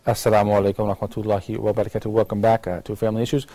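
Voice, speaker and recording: A man's voice: fairly low pitch, little pitch movement, rapid-fire, mellow. An American man hosting a talk show in a casual, conversational style. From United States. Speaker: live talk show host.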